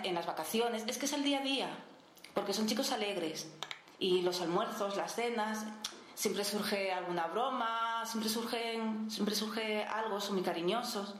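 A woman, a caregiver answering radio interview questions in a warm, halting way with many restarts. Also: medium pitch, a little hiss or hum. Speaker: interviewee, caregiver in a children's home. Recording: echoey room.

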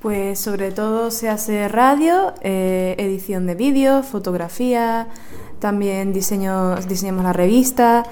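A woman's voice: mid-range voice, darkish tone, clear, resonant tone. A woman from the workshop, steadily explaining what it does by listing its activities. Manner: explains steadily, lists items. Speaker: workshop member. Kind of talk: interview answer.

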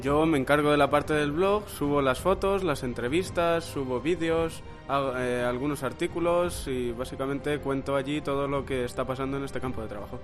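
A young man talks casually as an interview guest. His voice is mid-pitched, with music clearly audible behind it. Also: moderate pace, few pauses. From Cuenca, Spain. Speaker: interviewee, camp blogger. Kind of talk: casual chat.

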